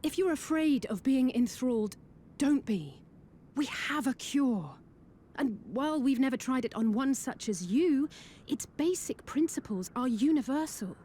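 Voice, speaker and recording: Woman playing a high, mellow, confident fantasy scholar. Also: lively intonation, measured pace, a little hiss or hum. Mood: assured. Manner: formal, assured, reassuring. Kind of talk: fantasy game dialogue.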